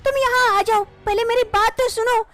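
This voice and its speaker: A woman's voice: very high-pitched, fairly even intonation, normal speed, a bit bright. A woman voicing a kind young woman who speaks with concern and urgency in a cartoon story. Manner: concerned, calling out.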